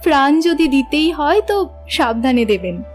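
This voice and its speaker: Lady acting as young woman, high voice, polite curious and a bit teasing, audio drama with faint music. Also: big pitch swings, measured pace. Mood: gently teasing.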